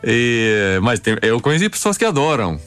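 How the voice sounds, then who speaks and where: A middle-aged man from Finland, a Swedish speaker, answering haltingly as an interview guest in casual conversation. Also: mid-range voice, sing-song, fairly bright tone, clear, resonant tone, faint music behind. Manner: halting, searching for words in a second language.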